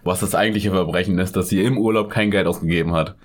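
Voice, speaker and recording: Man, very low-pitched; casual podcast co-host, jokey and crude. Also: fast. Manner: joking, crude slang, lively asides. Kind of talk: casual true-crime podcast chat.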